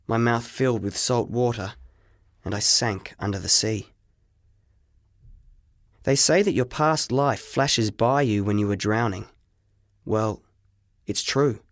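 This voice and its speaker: A man reads a first-person story as an audiobook narrator. He takes his time, phrasing each line deliberately and with dramatic expression. His pitch sits in the middle for a man, and the sound is dry with no room around it. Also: dry recording.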